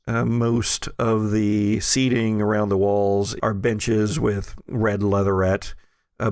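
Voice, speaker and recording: A man narrating scenes as game master of a tabletop roleplaying podcast, in a halting, often jokey way. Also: fairly low pitch, vocal fry, no echo.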